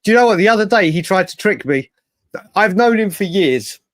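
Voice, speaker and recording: A man's voice: high voice, lively intonation, fairly quick, no echo. An English man hosting a football fan show, chatting in a casual, matey way and often wandering off mid-thought. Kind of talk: casual live fan debate.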